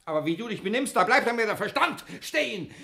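An actor playing an indignant, domineering husband in a 1960s German radio crime play, scolding and theatrical. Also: male voice, high-pitched, very animated, fast talker, vocal fry, sharp tone, full character voice. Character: indignant, hot-headed husband, domineering and proud.

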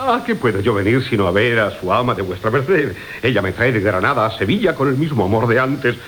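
A swooping, swaggering rogue suitor. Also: male voice, medium pitch, sing-song, a little fast, a bit bright. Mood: boastful, courteous. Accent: Castilian Spanish. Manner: declamatory, with muttered sly asides. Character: swaggering male rogue suitor, gambler in love, wheedling and sly. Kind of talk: theatrical Golden Age comedy radio acting. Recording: hissy 1980 radio drama recording.

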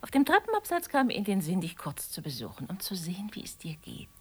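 A husky, wistful woman. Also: mid-range voice, wildly swooping pitch, fast talker. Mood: light, evasive. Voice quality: husky, breathy, often dropping to near whisper. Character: wistful, gently reproachful woman, former lover of a married man. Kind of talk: German radio play dialogue.

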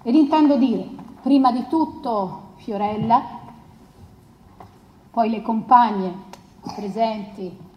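An actress playing a solemn, resolute feminist lawyer, addressing the court with deliberate rhetorical pauses in a drama. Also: female voice, on the high side. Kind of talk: declaimed courtroom oration in radio drama. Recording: muffled, echoing large hall.

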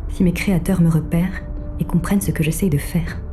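An actress playing a covert, calculating female artificial intelligence, speaking in measured, formal phrases as she narrates an audio drama. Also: lowish voice, steady tone, over music, close to an everyday voice. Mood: guarded, focused. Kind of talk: scripted audio drama narration in first person.

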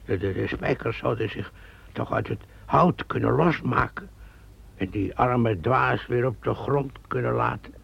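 An actor playing a fanciful, easily frightened companion in a radio play, with a raspy, gravelly voice. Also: male voice, medium pitch, unhurried, lo-fi sound, full character voice. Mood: musing. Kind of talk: radio drama acting.

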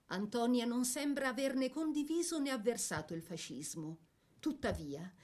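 A woman narrating a scripted biography for a radio drama in a measured, literary storytelling manner. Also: medium pitch, darkish tone.

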